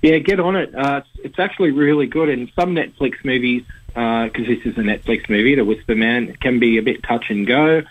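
Slightly husky Australian film reviewer, man. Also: medium pitch, a little fast, phone-quality sound. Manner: chatty, rambling with fillers. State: sore throat, slightly hoarse. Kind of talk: casual radio chat.

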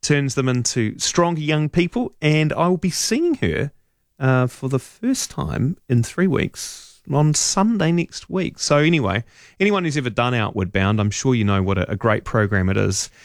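A man's voice: medium pitch, animated, moderate pace, dry recording, studio quality. A middle-aged man hosting a radio talk show, chatting and rambling with fillers and restarts. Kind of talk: casual radio chat. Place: radio studio.

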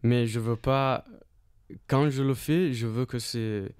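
A man from Australia chatting casually as an interview guest in a studio, speaking halting French and searching for words. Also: medium pitch, steady tone, darkish tone, clear, resonant tone, no echo. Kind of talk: casual chat.

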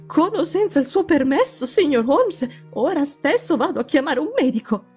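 A woman's voice: high voice, animated, normal speed, a little mellow, faint music behind, pitched above the performer's own voice. A woman voicing an anxious, deferential housekeeper in an audiobook, urgent and distressed. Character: anxious, deferential female housekeeper pleading for help.

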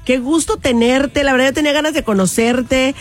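A woman's voice: high voice, a little fast, faint music behind. Bubbly radio host woman. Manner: bubbly, affectionate, laughs often. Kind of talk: lively radio interview.

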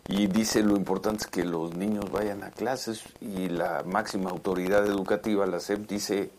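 A man's voice: fairly low pitch, fairly even intonation, moderate pace, darkish tone. Insistent Mexican news host, man, noisy recording. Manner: insistent, emphatic, repeats phrases. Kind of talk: conversational news commentary and questioning.

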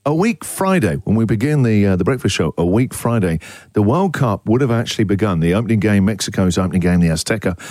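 A man with an Australian accent hosting a breakfast radio show, talking in energetic, jokey banter. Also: on the low side, quick pace, no echo. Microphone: studio mic.

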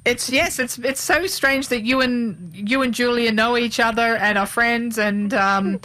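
A woman's voice: on the high side, a little slow, pushed and bright, poor audio. A woman hosting a community radio show, chatting casually with lots of fillers and restarts. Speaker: radio host. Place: radio studio.

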